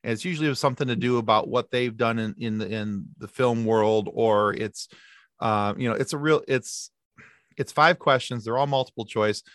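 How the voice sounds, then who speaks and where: A man guesting on a podcast, telling stories in a lively chatty way with quick asides and restarts. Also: mid-range voice, no room sound, clean studio sound. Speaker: podcast guest, sci-fi podcast co-host. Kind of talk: casual interview chat.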